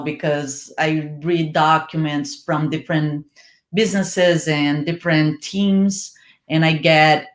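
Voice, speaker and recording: Brazilian woman, steady explainer, echoey room. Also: sits low. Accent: Brazilian Portuguese. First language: Portuguese. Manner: steady and explanatory, with frequent "like" fillers. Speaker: PR manager, panel guest. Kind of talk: casual online panel chat.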